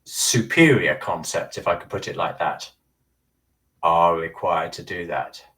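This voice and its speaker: A man's voice: sits low, a little slow, pushed and bright, recorded from a distance. A man earnestly explaining ideas at length in a casual talk, often restarting his sentences. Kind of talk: casual discussion.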